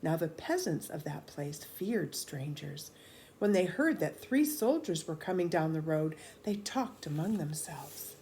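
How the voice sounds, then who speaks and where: A woman narrating a storybook for children in an expressive, deliberate, animated way. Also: low voice, a little hiss or hum. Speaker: children's read-aloud storyteller.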